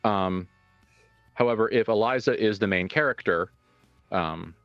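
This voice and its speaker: Deep-voiced man, thoughtful and halting. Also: dry recording, dull audio, soft background music. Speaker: game master and writer of an actual-play show. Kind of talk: casual reflective discussion, answering questions.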